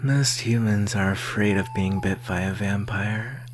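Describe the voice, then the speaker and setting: A woman playing a flirtatious, menacing vampire in a breathy, whispered ASMR roleplay, teasing and intimate up close to the mic. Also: rumbling low, unhurried. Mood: soft, satisfied. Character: flirtatious, menacing thirsty vampire lurking in woods. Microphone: close microphone. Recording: faint steady hiss and hum.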